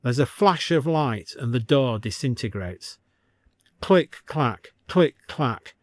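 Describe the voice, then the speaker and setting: A man reading a scripted story as an expressive storyteller with dry comic delivery. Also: medium pitch, takes it slowly, no echo.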